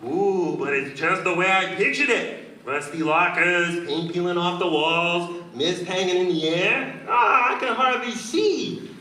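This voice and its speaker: A man's voice: high-pitched, a little slow, pushed and bright. A man voicing a timid, dim-witted henchman in a comic radio play, hesitant and whining. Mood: naive, eager. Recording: echoey hall, far mic, faint noise.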